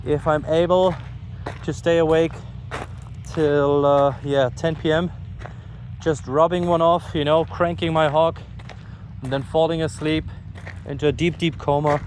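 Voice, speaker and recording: A man's voice: fairly high pitch, flat delivery, unhurried, pauses often, darkish tone. A sleep-deprived man with a German accent rambles frankly and casually into his phone while walking outdoors, swearing and putting himself down. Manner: frank, swearing, self-deprecating. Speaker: vlogger. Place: outdoors, walking along a street. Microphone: phone recording while walking. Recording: outdoor street and traffic noise. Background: ambient outdoor noise with passing vehicles.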